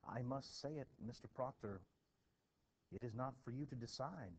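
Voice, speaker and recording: A man voicing an earnest, formal minister from colonial times, measured and questioning, in a play reading. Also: mid-range voice, a little mellow, breathy edge. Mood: probing. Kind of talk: expressive classroom play reading. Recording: dull, noisy home recording.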